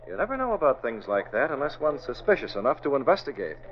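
An actor playing a polite, shrewd young playboy publisher in a 1940s radio drama, articulate and musing. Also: male voice, mid-range voice, sing-song, fast talker, lightly acted. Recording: dull old radio recording.

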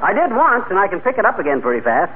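Wisecracking American husband, boastful, animated, radio comedy, tinny hissy vintage recording. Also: male voice, sits high, fast talker. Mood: breezy bragging. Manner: bragging, tongue-twisting patter. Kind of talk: 1940s radio comedy acting.

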